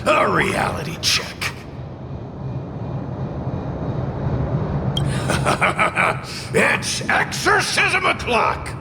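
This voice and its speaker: A man's voice: very high voice, sing-song, very slow, vocal fry, very noisy, exaggerated voice. A man voicing a cocky, irascible spirit in a video game, theatrical and grumbling. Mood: cocky, scheming. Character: cocky, irascible fiery spirit, male, grandly dramatic.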